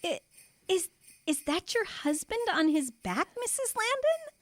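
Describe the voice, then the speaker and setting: This is a woman acting Santa's fretful wife in a radio play. Her voice is very high and swoops widely. She speaks slowly and pleadingly, in a dry sound. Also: sing-song, no room sound, heavily acted. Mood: anxious. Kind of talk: audio drama acting.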